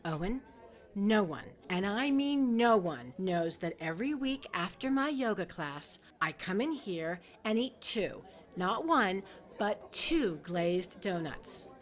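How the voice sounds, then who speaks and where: A woman's voice: mid-range voice, big pitch swings, takes it slowly. An actress playing a concerned middle-aged mother, firm and coaxing, in a naturalistic web drama.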